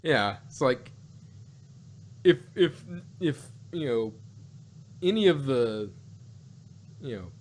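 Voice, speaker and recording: A man with a mid-pitched voice chats casually as a podcast co-host. He rambles through his points, circling back to rephrase them, and slips into animated asides. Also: slow, pauses often. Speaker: podcast co-host reviewing audio dramas. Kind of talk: casual unscripted chat.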